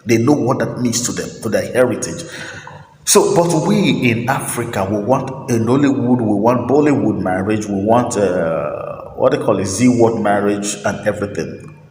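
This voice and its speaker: A man hosting a talk, speaking his opinions in an emphatic, preachy monologue, repeating phrases and pausing on fillers. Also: fairly low pitch, lively intonation, darkish tone, a little hiss or hum. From Nigeria. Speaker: host. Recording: roomy echo with long reverb, faint ambience.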